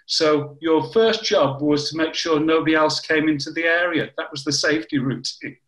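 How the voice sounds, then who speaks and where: A man's voice: a little high, normal speed, a bit bright, reverberant, low-quality recording. Older northern English man telling anecdotes. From north of England. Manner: anecdotal, self-correcting with frequent restarts. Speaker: interviewee, former scientist. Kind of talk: conversational interview.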